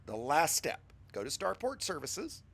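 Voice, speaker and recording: A man with a scratchy voice from a cold leads a casual game tutorial, coaching a beginner step by step and chatting to his viewers. His voice sits fairly high and swings widely in pitch. A gravelly fry runs under his words. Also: huge pitch swings, measured pace, fairly bright tone. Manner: patient, chatty instructor addressing viewers.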